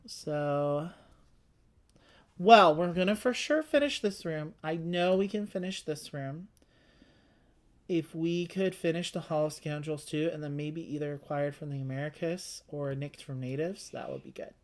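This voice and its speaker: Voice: mid-range voice, measured pace. A board game player and co-host chatting casually through a playthrough, thinking aloud while planning moves.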